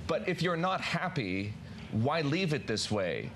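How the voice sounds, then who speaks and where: A man's voice: a little high, very animated, a little slow, a bit bright, slight background noise. A man working as a news interviewer, putting formal, probing questions in a broadcast style.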